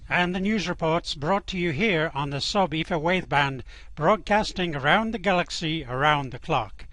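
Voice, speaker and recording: A man's voice: fairly high pitch, moderate pace, a bit bright, no echo, lightly acted. A man playing an upbeat galactic radio newsreader with a showy broadcast delivery in a comic bulletin. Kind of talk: radio news bulletin parody.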